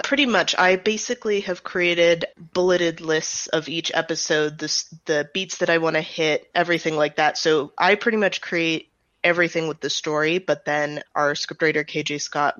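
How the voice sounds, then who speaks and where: A woman's voice: low voice, steady tone, moderate pace, a bit bright, dry recording. A woman answering interview questions as a writer and director, explaining things step by step in a conversational way. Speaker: audio drama writer and director, guest. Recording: slightly dull, band-limited close recording.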